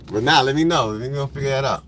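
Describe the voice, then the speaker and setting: A man's voice: mid-range voice, a bit bright. A man with a smoker's voice, chatting casually with viewers on a livestream from his car in traffic. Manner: laid-back, rambling, slangy, greets viewers by name. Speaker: photographer hosting a livestream. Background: faint vehicle noise.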